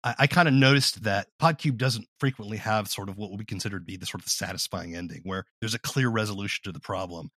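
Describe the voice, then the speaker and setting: A man's voice: fairly low pitch, fast talker, few pauses, fairly bright tone, no room sound, studio quality. A man hosting a casual interview, talking in an explanatory, conversational way.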